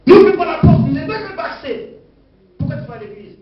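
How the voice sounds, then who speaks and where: A man from Africa preaching a fervent Pentecostal sermon with call and response, swinging between loud exclamations and quiet asides. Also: very high voice, lively intonation, moderate pace, a crowd in the background. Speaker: pastor. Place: church hall during a worship service. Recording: echoey hall, distant dull mic, congregation.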